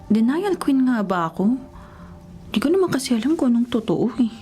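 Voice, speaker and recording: A vain young Filipino woman in a radio drama, high-voiced and quick to defend herself. She banters with a proud, denying air over clearly audible music. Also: sing-song. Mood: teasing, flustered. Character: proud young woman in denial about loving her best friend.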